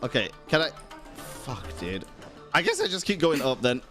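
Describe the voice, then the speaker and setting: Animated, bright-voiced male game streamer. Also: medium pitch, normal speed, faint music behind. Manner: profane, slangy reactions. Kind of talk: casual live gameplay commentary.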